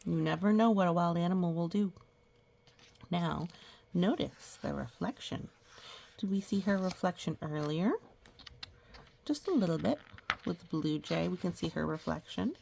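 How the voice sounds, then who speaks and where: A woman reading a picture book aloud to young children, gently pausing to explain things and ask questions. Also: on the low side, lively intonation, creaky, a little hiss or hum. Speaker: children's story reader.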